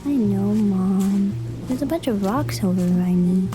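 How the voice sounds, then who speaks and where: A woman playing a practical, gently questioning schoolgirl daughter in an audio drama. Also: medium pitch, a little slow, over loud music, close to an everyday voice, rough recording.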